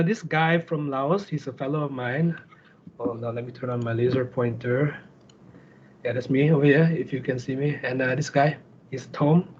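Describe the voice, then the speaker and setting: A man's voice: medium pitch, a little mellow. Malaysian guy doing a casual slide talk online, chatty and informal, muffled lo-fi call sound. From Kuching, Sarawak, Malaysia. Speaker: guest speaker, startup founder. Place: online video call with screen sharing. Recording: dull lo-fi online call audio.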